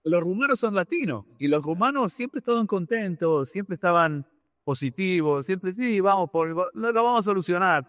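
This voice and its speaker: A man from Argentina, answering interview questions conversationally as a business executive, haltingly, repeating words and dropping in English terms. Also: sits high, animated, fairly bright tone, dry recording.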